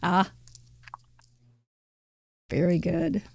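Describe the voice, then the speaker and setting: A woman's voice: lowish voice, sing-song, drawn-out words, creaky, a little mellow, no room sound. A woman hosting a live crafting show, chatting playfully and laughing often. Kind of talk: casual live-stream chat.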